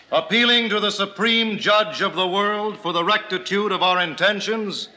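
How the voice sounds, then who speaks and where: A man's voice: high-pitched, bright, forceful tone. A man playing an intense, earnest colonial statesman with emphatic delivery, in an old-time radio drama. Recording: dull vintage radio recording.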